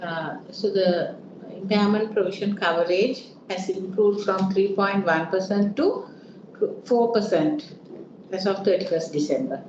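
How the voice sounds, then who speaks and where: A woman's voice: mid-range voice, unhurried. Woman, muffled echoey business call. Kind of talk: brief factual business answer. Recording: muffled, echoey, noisy, distant-sounding.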